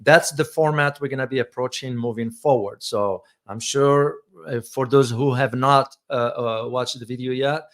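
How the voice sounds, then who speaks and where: A middle-aged man co-hosting a casual chat show, with a loud voice and a halting way of talking full of restarts. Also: medium pitch, fairly even intonation. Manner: loud voice, hesitant with many restarts. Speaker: co-host. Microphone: close mic.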